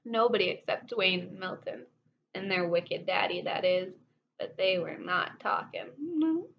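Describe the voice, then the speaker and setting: A woman's voice: on the low side, a little slow, vocal fry. A woman reading a children's story aloud expressively, with playful asides to her listeners. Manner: animated asides to young listeners. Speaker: storybook reader. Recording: dull sound with faint background noise.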